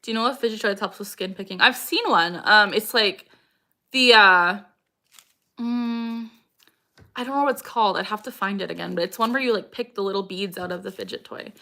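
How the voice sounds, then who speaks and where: Young woman in her 20s, bright voice, chatting on a livestream, lots of vocal tics and giggles. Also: mid-range voice, brisk. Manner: frequent vocal tics and bursts of laughter; chatty, greets viewers by name. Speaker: livestream host. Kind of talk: casual livestream Q&A, reading chat aloud.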